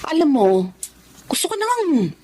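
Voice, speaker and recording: A woman's voice: extremely high pitch, very animated, unhurried, a little mellow, exaggerated voice. A woman with a Filipino accent playing a well-off, aggrieved, mean-spirited wife in a radio drama, complaining expressively. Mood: annoyed.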